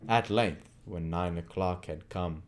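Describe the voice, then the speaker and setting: A man reading a classic novel aloud as narrator, in deliberate phrases with dramatic emphasis. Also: deep voice. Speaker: audiobook reader.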